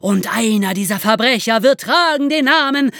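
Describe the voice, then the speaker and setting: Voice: medium pitch, animated, normal speed, vocal fry, pushed and bright, no echo, studio quality. A podcaster playing a solemn, pompous oracle proclaiming in archaic words for an audio play. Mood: solemn prophecy. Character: pompous speaking snake-god head, solemn oracle proclaiming.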